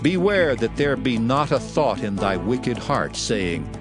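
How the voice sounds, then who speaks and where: A man giving a solemn, declamatory dramatized reading of scripture in measured phrases. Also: medium pitch, big pitch swings. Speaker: Bible narrator. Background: background music.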